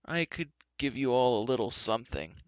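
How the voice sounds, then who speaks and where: A man's voice: medium pitch, very animated, moderate pace, no echo, highs cut off, natural voice. A man voicing a gloomy, nervous fair-folk creature in a storybook reading, moaning, dithering and full of self-pity. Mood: feeble, complaining.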